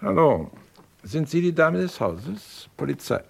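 A man playing a dogged, dry police detective in a radio crime drama, terse and probing with a raspy voice. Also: on the high side, big pitch swings, unhurried, heavily acted. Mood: official, brisk. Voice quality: raspy, creaky.

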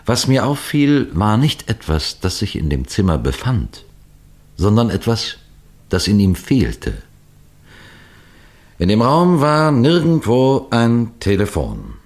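An actor playing a police detective who tells puzzle cases to listeners in a deliberate 1960s radio play style. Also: male voice, on the low side, huge pitch swings, a little mellow, heavily acted. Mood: explaining calmly. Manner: deliberate, rhetorical, addresses listeners directly. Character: male police detective telling puzzle cases, measured and knowing.

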